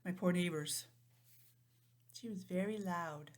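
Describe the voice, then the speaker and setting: A woman teacher reading a picture book aloud to young children, stopping for simple, chatty explanations in an animated manner. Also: lowish voice, takes it slowly, heavy background noise. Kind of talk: storybook read-aloud with chatty asides.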